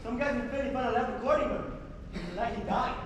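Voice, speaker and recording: A man on stage playing a chatty, excitable jazz musician buddy. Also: very high voice, quick pace. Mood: excited. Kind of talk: theatrical stage acting. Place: school theatre stage. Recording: echoey hall, distant mic, noisy.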